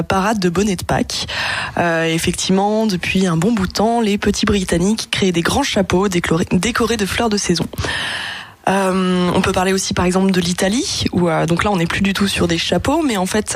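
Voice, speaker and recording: A woman's voice: lowish voice, dry recording. A woman giving an informal explanatory radio talk, hesitant and often circling back as she goes. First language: French. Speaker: radio contributor presenting a segment.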